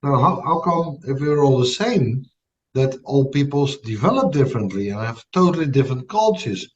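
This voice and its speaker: Dutch guy hosting a chat, pitch jumps all over, sounds a bit far off and muffled. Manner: poses questions, ends lines with a tag "right?". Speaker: podcast host. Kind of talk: casual conversation. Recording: dull lo-fi sound, set back from the mic.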